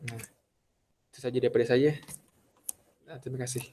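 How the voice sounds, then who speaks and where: A young man from Kelantan giving a halting class presentation, full of fillers and restarts. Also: mid-range voice, fairly even intonation, warm tone, a little hiss or hum. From Malaysia, Kelantan. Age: young adult. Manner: hesitant, many fillers and restarts. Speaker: student presenter.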